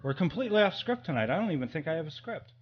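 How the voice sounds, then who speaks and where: A man's voice: a little high, sing-song, very fast, lo-fi sound. Guy hosting a podcast, rambly and jokey, totally off the cuff, some noise behind him. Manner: rambling, joking, loose. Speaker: podcast host. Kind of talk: casual, unscripted comic chat. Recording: clearly noisy background.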